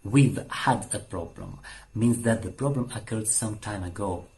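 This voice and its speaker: A man presenting a short English grammar lesson, confident and animated. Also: lowish voice, measured pace. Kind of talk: lively mini grammar lesson.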